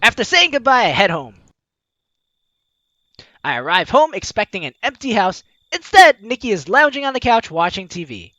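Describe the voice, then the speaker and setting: A woman's voice: medium pitch, very animated, moderate pace, a bit bright, no echo, dull audio. A young woman reading a visual novel aloud as a gaming host, with theatrical, teasing asides. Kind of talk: animated let's-play read-aloud and commentary.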